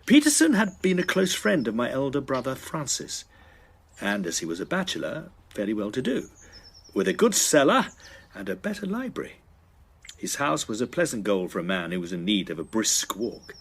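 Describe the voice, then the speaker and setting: A man's voice: medium pitch, wildly swooping pitch, moderate pace, creaky, fairly bright tone, slightly rough, exaggerated voice. A man playing a sceptical, self-assured young student in a period drama, with formal, articulate phrasing. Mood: measured storytelling. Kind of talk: dramatised first-person period narration and dialogue.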